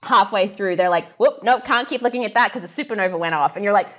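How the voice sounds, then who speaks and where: Female scientist guest, medium pitch, lively and informal, explaining with playful humor. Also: fast talker. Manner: animated, informal, jokey storytelling. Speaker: astronomer guest. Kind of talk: casual science chat.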